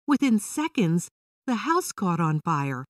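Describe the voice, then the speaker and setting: Female children's storyteller: scripted narration, animated intonation, dry sound, a little distant from the mic. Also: fairly low pitch, unhurried, no echo.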